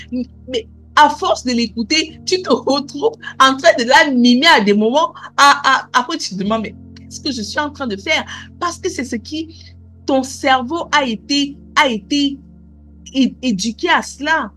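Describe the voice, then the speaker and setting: A woman's voice: sits high, sharp tone. A woman preaching a sermon, asking repeated questions and punctuating her lines with alleluia and amen.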